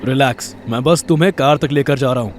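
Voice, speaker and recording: A man's voice: medium pitch, brisk, a little hiss or hum, close to an everyday voice. A man playing a stern, guarded but secretly caring young man in an audio drama, speaking in a restrained, matter-of-fact way. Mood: calm, curt. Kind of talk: Hindi audio horror drama acting.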